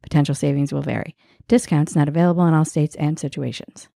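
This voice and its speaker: A woman's voice: low-pitched, steady tone, fast talker, gravelly, dark tone, no echo, clean studio sound. A woman speaking as a podcast host, in interview chat and host-read sponsor spots.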